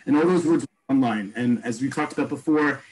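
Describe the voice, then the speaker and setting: A man teaching an online class, explaining terms and repeating each word slowly. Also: medium pitch, a little mellow, slightly rough, poor audio. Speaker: Hebrew teacher. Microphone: video call.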